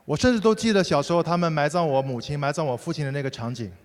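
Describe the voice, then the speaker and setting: Male interpreter, rapid and fluent, slightly high, hall reverb. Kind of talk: consecutive sermon interpretation. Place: large church hall.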